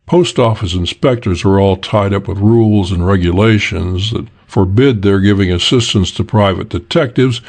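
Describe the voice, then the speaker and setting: A man reading a first-person detective story aloud as an audiobook narrator, in a measured, even delivery. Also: lowish voice.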